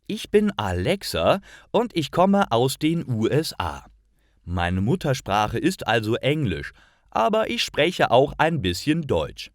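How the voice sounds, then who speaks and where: A man's voice: medium pitch, wildly swooping pitch, slow, dry recording, clean studio sound, pitched above the performer's own voice. A man voicing a friendly young American woman new to Germany.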